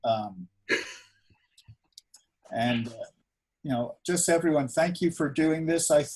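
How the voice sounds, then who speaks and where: Man, fairly high voice, husky, rambling jokey host, casual chat, video call. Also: expressive, slow pace, darkish tone, poor audio. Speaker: event host. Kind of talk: casual rambling chat, closing an online event. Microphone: video call microphone.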